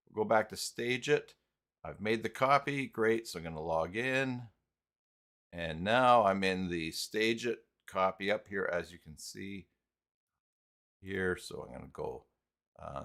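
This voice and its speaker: A low-pitched man hosts an unscripted screen-share tutorial at a very unhurried pace. His voice has a crackly fry, and he talks himself through each step in a casual, thinking-aloud way, with little muttered filler syllables. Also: a bit bright. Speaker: live stream host and web tutorial presenter.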